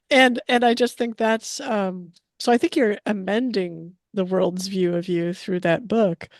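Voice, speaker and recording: Podcast co-host, woman, fry in the voice, casual, thinking aloud with fillers. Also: medium pitch, slow pace, no echo, studio quality. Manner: thoughtful, hesitant with fillers and restarts. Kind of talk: casual interview chat.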